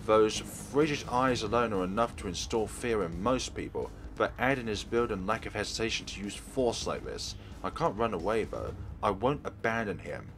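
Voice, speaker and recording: Male let's player, lowish voice, expressive dramatic reading of game text over clear background music. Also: moderate pace, hardly any pauses. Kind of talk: dramatic read-aloud of visual novel text.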